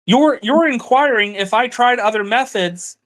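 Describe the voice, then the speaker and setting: A man's voice: very high-pitched, a little slow, a bit bright, poor audio. A man in a heated personal argument over the phone, frustrated and accusatory, repeating himself. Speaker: partner on a phone call.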